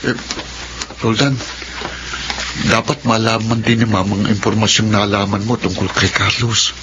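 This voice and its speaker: A man's voice: on the low side, steady tone, unhurried, lightly acted. A man playing a curious, concerned office co-worker in a Filipino radio drama. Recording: dull, noisy radio recording.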